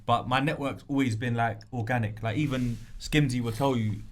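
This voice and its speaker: A man hosting a podcast, talking in relaxed street slang and backing up his guest. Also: mid-range voice, moderate pace. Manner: laid-back, affirming street slang. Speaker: podcast host. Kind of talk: casual chat.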